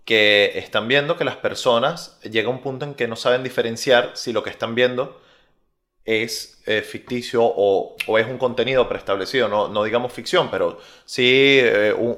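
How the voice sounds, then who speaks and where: A young Venezuelan man with a Venezuelan Spanish accent, a researcher answering interview questions in a measured way with frequent pauses. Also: mid-range voice, fairly bright tone. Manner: measured, many filler "eh" pauses.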